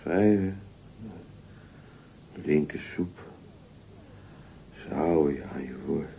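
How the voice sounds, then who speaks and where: A man's voice: a bass voice, extremely slow, creaky, dark tone, exaggerated voice. An actor playing a sleepy, lazy, boastful folk-tale man in a radio play, drowsy and unbothered. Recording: dull, noisy old radio recording.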